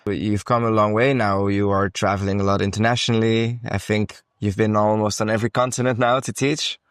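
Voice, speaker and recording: Upbeat male host, low dry voice. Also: flat delivery, brisk, clean tone, dry recording. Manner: upbeat, encouraging, lots of short agreements. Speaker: podcast host. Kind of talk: casual interview. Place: salsa congress venue.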